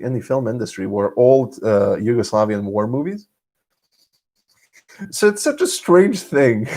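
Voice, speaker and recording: A young man from Serbia with a Serbian accent, telling stories in a casual solo vlog, restarting often and swinging his pitch up and down. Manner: animated, frequent restarts and laughs, pitch swinging widely. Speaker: vlogger host. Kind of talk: casual monologue storytelling.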